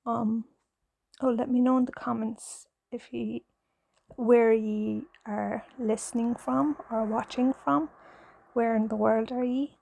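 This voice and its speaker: A shy Irish woman in her thirties talks in a husky, half-whispered hush, her slow words trailing into gravelly fry. Her voice sits soft and mellow with a flat, even melody, close to a clip-on mic in a dry room. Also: fairly high pitch, no room sound, poor audio. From Ireland. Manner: gentle, hesitant, nervous, with many fillers. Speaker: knitting ASMR creator, mother. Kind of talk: soft-spoken ASMR chat. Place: home, in pajamas. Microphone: clip-on mic on pajamas.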